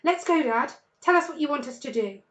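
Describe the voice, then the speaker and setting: Woman putting on eager high-pitched little fox cubs. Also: expressive, fairly quick, a bit bright. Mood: eager, excited. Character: eager, pleading young fox cubs, starving but plucky. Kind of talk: children's storybook read-aloud.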